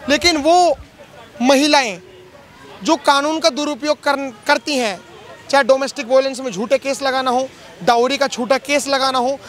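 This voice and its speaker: Very high-pitched, bright male street interviewer. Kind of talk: vox pop interviewing on social issues.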